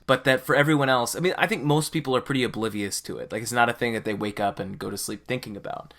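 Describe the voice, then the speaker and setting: A man's voice: medium pitch, fast, gravelly, fairly bright tone. A man hosting a podcast in casual, conversational discussion. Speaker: podcast host.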